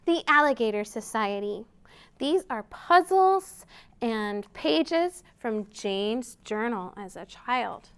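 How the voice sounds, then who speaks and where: Female storytime presenter for young children: high-pitched, smooth tone, expressive delivery with simple prompts. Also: slow. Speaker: children's storytime host at a library. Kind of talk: storytime read-aloud and chat to young children.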